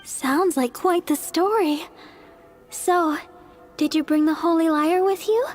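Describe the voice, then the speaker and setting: A woman's voice: very high voice, slow, a little mellow, faint music behind. A woman playing a devout, earnest young deaconess who is easily flustered, in a video game. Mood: polite, earnest. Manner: polite and earnest, exclamatory. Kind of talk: video game character acting.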